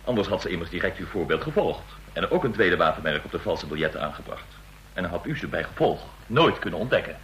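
Deep, blunt army officer. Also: male voice, big pitch swings, fast talker, a bit bright, a little hiss or hum, rough recording. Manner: blunt, challenging. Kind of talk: radio drama acting.

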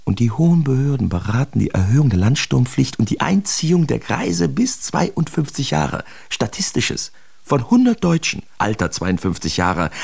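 A man's voice: mid-range voice, gravelly, darkish tone, slightly rough, heavily acted. An actor playing a bitter, sardonic young German artist reading his letters in a radio drama, theatrical and sharply emphatic. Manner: theatrical, sarcastic, emphatic enunciation. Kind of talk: literary radio drama monologue, letters read aloud.

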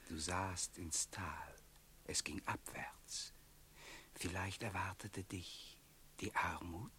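An actor playing a calm, enigmatic wandering companion in a German radio play, speaking in a measured, cryptic, hushed way. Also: male voice, low-pitched, exaggerated voice. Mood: calm, knowing.

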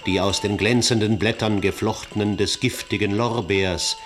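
An actor speaking as the chorus narrator, reciting classical verse in a solemn, deliberate way. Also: male voice, lowish voice, fairly even intonation, close to an everyday voice. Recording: music behind. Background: music.

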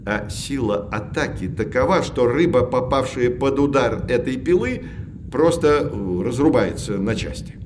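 An actor playing a learned professor who explains things articulately to children in an educational audio drama. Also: male voice, mid-range voice, expressive, a little slow, darkish tone, slight background noise, close to an everyday voice. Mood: patient, informative. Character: learned male professor guiding children on sea voyage.

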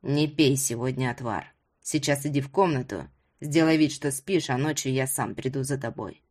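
A stubborn old fisherman grandfather, played by a woman narrator, with a very low pitch. He talks in curt grumbles, with quiet menace, as in an audiobook drama. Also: normal speed, lightly acted. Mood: grumbling, secretive.

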